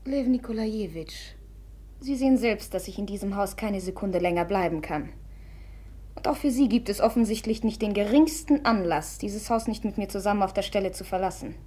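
A husky, mellow-toned young society woman in a classic radio play, played by an actress. She is proud and formal, wounded yet restrained. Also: mid-range voice, a little fast, lightly acted. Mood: cold, urgent. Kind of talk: German literary radio drama acting.

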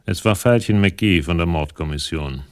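An actor plays a tough private detective in a radio crime drama. His voice is low, and his delivery is dry, wry and laconic. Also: normal speed, dry recording, close to an everyday voice. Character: hard-boiled, wry male private detective. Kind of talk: German radio crime drama with first-person narration.

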